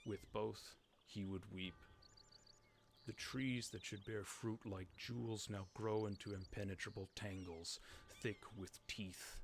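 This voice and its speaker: A man doing a dramatic reading as a grave, mournful fantasy storyteller, measured and solemn, for a video game. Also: deep voice, slight background noise, pitched below the performer's own voice. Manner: solemn, measured, hushed at times.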